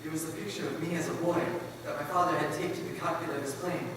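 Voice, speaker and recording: A man's voice: a little high, fairly even intonation, fast talker, lightly acted. A man playing the grieving grown son of a slain missionary, telling his story earnestly in a stage recital. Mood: anguished. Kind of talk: dramatic stage recital, reader's theater. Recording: very echoey hall from far off, faint noise.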